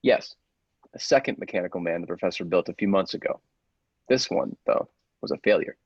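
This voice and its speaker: A man playing a grave, persuasive scientist's assistant in a radio play, deliberate and theatrical, with secretive zeal. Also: sits low, husky, no echo, muffled recording. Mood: intense, revealing. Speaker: podcast actor.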